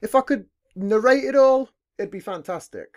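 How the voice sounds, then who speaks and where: A man with a deep, heavy voice hosting a podcast, chatting in a laid-back, rambling way and swearing casually. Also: normal speed. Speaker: podcast host. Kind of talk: casual chat.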